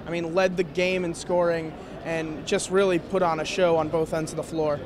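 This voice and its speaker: A young man with a fairly high voice breaks down a basketball game in earnest detail. He speaks into a handheld mic courtside in a big arena, with clear noise behind him. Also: little pitch movement, moderate pace. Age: young adult. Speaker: student sports analyst. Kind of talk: postgame basketball recap. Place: courtside in a large indoor arena.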